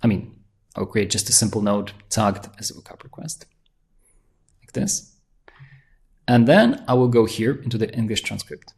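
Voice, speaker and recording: A man presenting a software tutorial, walking through a demo in excited, fast talk full of restarts. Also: lowish voice. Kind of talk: screen-share software walkthrough.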